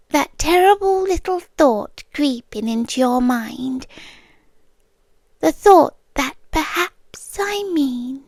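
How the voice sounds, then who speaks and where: Slow, high-voiced menacing British yandere girlfriend, acted by a woman. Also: darkish tone, dry recording, full character voice. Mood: softly menacing. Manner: breathy half-whispers, deliberate teasing pauses. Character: menacing, possessive yandere girlfriend, sweetly patient and dangerous. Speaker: ASMR roleplay performer. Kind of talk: ASMR roleplay, slow intimate dramatic monologue.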